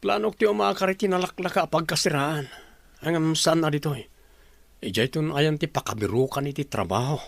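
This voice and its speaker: A man's voice: on the high side, brisk, vocal fry, no room sound. A man with an Ilocano Filipino accent playing a troubled countryman from a struggling family in a radio drama.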